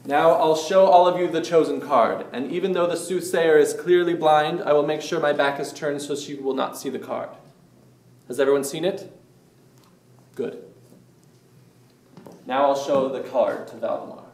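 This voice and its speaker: A man playing a formal, courteous doctor in a staged play reading, addressing people in a measured, announcing way. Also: on the high side, steady tone, a little mellow, natural voice. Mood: courteous, showman-like. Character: formal, courteous male doctor running an eerie experiment. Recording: echoey room, distant mic.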